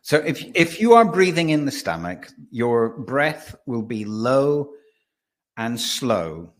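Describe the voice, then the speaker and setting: A man who works as a voice and presence coach, explaining breathing and voice as a webinar guest. He talks with animation, restarts often and slows down to stress points. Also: mid-range voice, very animated.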